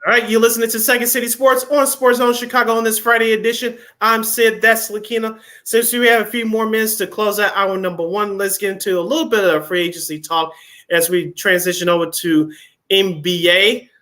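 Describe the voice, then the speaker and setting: A man co-hosting a casual sports radio show, reading off game schedules in quick runs with wry asides. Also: high voice, level tone, sharp tone.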